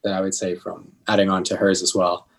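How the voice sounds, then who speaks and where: Lowish-voiced young man chatting casually. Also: completely flat delivery, fairly quick. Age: mid 20s. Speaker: podcast guest, pastor. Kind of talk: casual chat.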